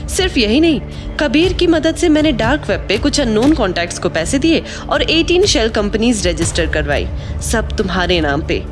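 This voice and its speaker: Audio drama schemer: fairly high, very animated, taunting and cool, music underneath. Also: female voice, moderate pace. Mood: coolly triumphant. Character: scheming woman coolly confessing her betrayal.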